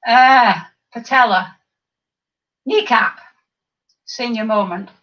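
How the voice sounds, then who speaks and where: A woman over fifty with a croaky voice, leading a seated exercise class, counting reps and adding chatty asides. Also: fairly high pitch, slow pace. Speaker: fitness instructor. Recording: distant mic, dull lo-fi sound.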